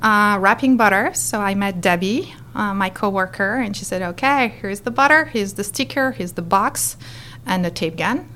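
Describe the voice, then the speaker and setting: A woman's voice: medium pitch, normal speed, slight background noise. A middle-aged woman from France with a French accent, animatedly telling her story as an interview guest. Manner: animated, expressive, acts out quotes.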